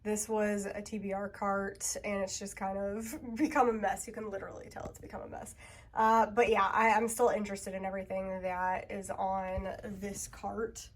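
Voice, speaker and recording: A woman's voice: mid-range voice, flat delivery, moderate pace. A woman in her thirties with a congested voice, chatting casually and rambling to the camera at home. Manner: chatty, rambling, laughs often. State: congested, feeling sick. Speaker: booktuber. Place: home, sorting bookshelves indoors.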